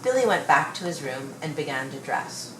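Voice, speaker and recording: A woman reading a children's story aloud with expressive, measured storytelling. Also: low voice. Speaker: storybook reader. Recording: roomy, distant mic, faint noise.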